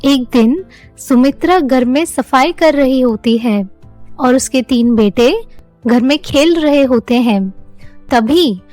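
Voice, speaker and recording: A woman narrates a scripted story for children. Her voice is high-pitched, and soft music plays behind it. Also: slow, dry recording.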